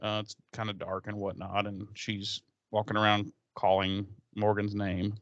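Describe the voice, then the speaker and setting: A man with a smooth, clean voice and average pitch, recorded very dry. He talks casually as a podcast co-host, rambling through a recap with sarcasm and swearing. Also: completely flat delivery, moderate pace, a bit bright, no room sound, muffled recording.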